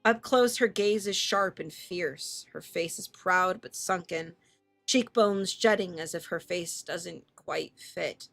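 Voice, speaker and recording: Woman, streamer, lower-mid pitch, expressive story narration, casual delivery, faint music underneath. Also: slow pace, creaky. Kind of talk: expressive live read-aloud of a visual novel with casual reactions.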